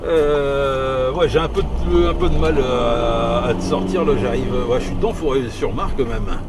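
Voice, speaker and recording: A man's voice: medium pitch, moderate pace, gravelly. A man chatting casually over radio as he drives, hesitant and rambling, often repeating himself. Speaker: amateur CB radio operator. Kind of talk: casual radio chat while driving. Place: inside a vehicle on the road. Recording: noisy moving vehicle, engine and road noise.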